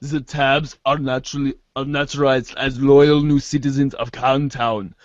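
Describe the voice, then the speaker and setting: A man's voice: medium pitch, level tone, moderate pace, dry recording, highs cut off. A man reading scripted narration for an amateur reader's theater show, performing a webcomic aloud.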